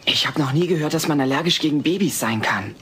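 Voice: mid-range voice, expressive, quick pace, fairly bright tone, natural voice. An actor playing a puzzled, sensible teenage boy in a dubbed sitcom audio play, questioning and reasoning. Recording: slightly noisy old cassette dub.

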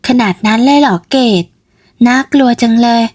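Woman playing a high-voiced, chirpy young flower seller. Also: fairly even intonation, moderate pace, a little mellow, natural voice. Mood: curious, stubborn. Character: lively young flower seller, inquisitive and headstrong. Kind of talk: storybook character voice.

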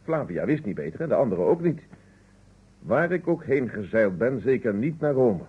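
Husky, sardonic male suspect. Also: medium pitch, sing-song, moderate pace. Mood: defiant. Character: defensive, indignant man being questioned, candid and sardonic. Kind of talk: vintage Dutch radio drama acting. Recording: muffled, narrow-band old radio recording.

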